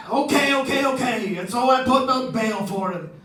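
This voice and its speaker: Defensive nightclub boss , male actor: extremely high-pitched, exasperated, radio drama style, distant from mic. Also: expressive, measured pace, a bit bright, soft background music, full character voice. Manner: insistent, exasperated. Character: defensive male supper club owner protecting his uncle. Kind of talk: old-time radio detective drama performed live. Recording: echoey room, distant mic.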